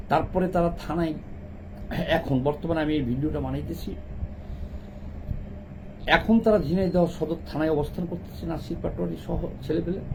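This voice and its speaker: A man's voice: fairly high pitch, noisy background. A middle-aged man with a Bangladeshi accent delivers unscripted, opinionated political commentary, scolding and repeating phrases for emphasis.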